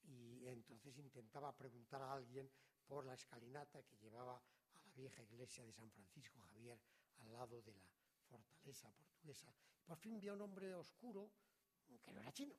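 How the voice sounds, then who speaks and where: A middle-aged man, reading a prepared academic lecture in a measured, formal way that often trails off quietly at the ends of phrases. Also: medium pitch, slightly rough. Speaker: conference speaker.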